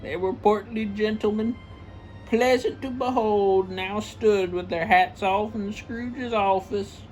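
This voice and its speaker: A woman's voice: medium pitch, fairly even intonation, takes it slowly, darkish tone, faint music behind, poor audio. A woman narrating a storybook in a theatrical reading style. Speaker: story reader.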